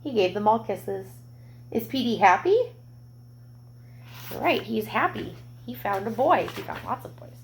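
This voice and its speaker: A woman reading a picture book aloud to young children, expressive, with gentle explanatory asides. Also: medium pitch, unhurried, pauses often, slight background noise.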